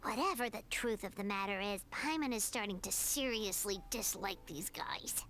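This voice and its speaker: A woman voicing a tiny, bubbly, outspoken fairy companion with a squeaky, childlike voice in a video game. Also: moderate pace, a bit bright, a little hiss or hum, lightly acted. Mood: grumbling.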